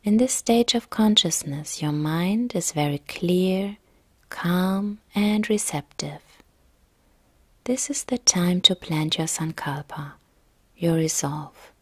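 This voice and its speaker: A woman yoga nidra instructor narrating a guided relaxation meditation in a calm, soft, gentle, breathy way. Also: on the low side, slow, dry recording.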